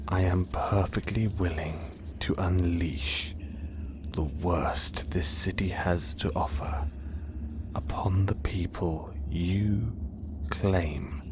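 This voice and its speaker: A deep-voiced, obsessive supervillain, acted by a man, who whispers close to the mic in a slow, hushed hiss. His manner is smooth and coaxing, with calm menace under every line. It fits an audio roleplay. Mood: menacing. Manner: smooth, coaxing, quietly threatening.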